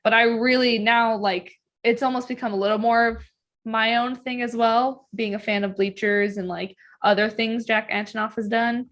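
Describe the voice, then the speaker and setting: A woman's voice: a little high, fairly even intonation, moderate pace, fairly bright tone. A woman co-hosting a podcast in casual conversation, informal and often rephrasing as she goes. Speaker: podcast co-host. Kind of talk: casual group chat.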